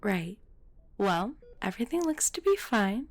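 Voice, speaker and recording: A woman's voice: mid-range voice, big pitch swings, unhurried. A woman playing a friendly, professional midwife in an audio roleplay, chatty and politely explaining things. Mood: informative, reassuring.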